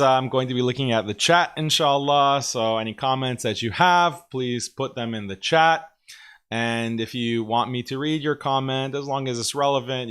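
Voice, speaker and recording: A man in his thirties, hoarse from a cold, hosting a casual livestream commentary show and talking to viewers with frequent pauses and religious phrases. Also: medium pitch, a bit bright. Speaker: host.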